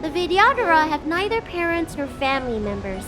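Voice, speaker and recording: High-pitched young girl healer. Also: very animated, a little fast, rough recording. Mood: wistful, earnest. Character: young girl dragon healer, spirited but burdened. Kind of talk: video game voice acting. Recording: loud music behind.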